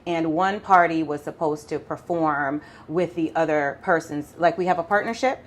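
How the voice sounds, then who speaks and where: A woman with a Jamaican accent, an attorney explaining law in a casual interview, talking quickly and laughing often. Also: low-pitched, slight background noise. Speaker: family law attorney, podcast guest.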